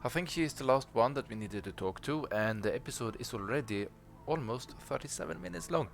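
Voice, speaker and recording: A man's voice: medium pitch, animated, fairly quick, vocal fry, soft background music. A man hosting a gaming video with casual let's play commentary, thinking aloud as he plays. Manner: thinks aloud while playing.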